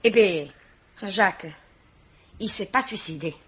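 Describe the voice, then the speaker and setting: A woman's voice: mid-range voice, lively intonation, slow pace, a bit bright. An actress playing a chatty, frightened landlady with a southern French Provençal accent, theatrical and repetitive, in a radio mystery play. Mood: confiding, agitated. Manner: breathless exclamations, repetitive, theatrical. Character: melodramatic, chatty, frightened landlady with folksy turns of phrase. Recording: noisy old radio recording with clicks.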